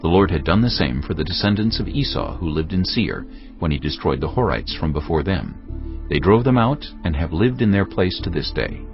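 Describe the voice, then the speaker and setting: Male scripture narrator, very low voice with lively intonation, music clearly behind. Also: lo-fi sound.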